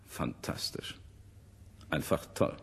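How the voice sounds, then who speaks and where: A man's voice: takes it slowly, a bit bright. An actor playing a stern, authoritative man in charge, clipped and formal, in a radio drama. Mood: curious, awed. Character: stern male official in charge, indignant and authoritative.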